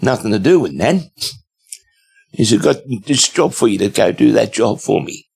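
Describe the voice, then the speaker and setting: A man in his seventies with an Australian accent, a guest telling stories in a relaxed, rambling way with many fillers. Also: on the low side, normal speed, creaky, breathy edge. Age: 70s. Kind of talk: casual storytelling interview.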